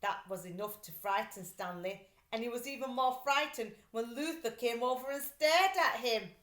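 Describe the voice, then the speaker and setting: A woman reading a story aloud for children in an expressive, deliberate, dramatic way. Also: fairly high pitch. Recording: roomy echo.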